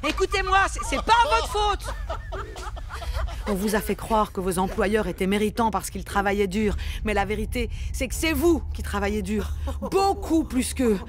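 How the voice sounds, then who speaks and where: An actress playing an earnest, indignant single mother in a radio drama, speaking haltingly but insistently. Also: mid-range voice, big pitch swings, natural voice. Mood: impassioned. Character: earnest, indignant single mother defending her unpaid work. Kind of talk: radio drama, naturalistic speech.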